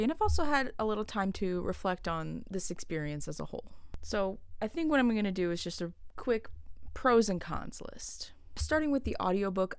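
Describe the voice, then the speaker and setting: Young woman chatting to camera, low smooth voice, laughs a lot, dry room. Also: no room sound. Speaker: vlogger. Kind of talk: casual talk to camera.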